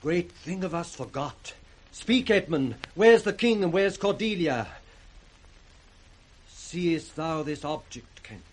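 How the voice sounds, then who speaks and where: An actor playing a commanding, upright British duke in radio Shakespeare, authoritative and declamatory. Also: male voice, on the high side, lively intonation, takes it slowly. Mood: urgent command. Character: commanding, upright duke taking charge amid disaster.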